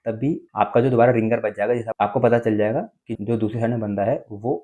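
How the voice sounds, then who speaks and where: A man's voice: fairly low pitch, little pitch movement, quick pace, narrow, tinny audio. An Indian-accented man presenting a casual step-by-step phone tutorial, explaining and often checking in with short tag words.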